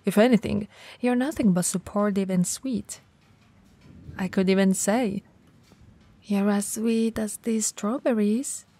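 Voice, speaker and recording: A woman playing a tall, cool, flirty shop owner in a soft, breathy, intimate ASMR roleplay. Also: medium pitch, unhurried, pauses often, lightly acted. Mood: playful teasing. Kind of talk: ASMR romantic roleplay acting. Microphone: close mic, dry.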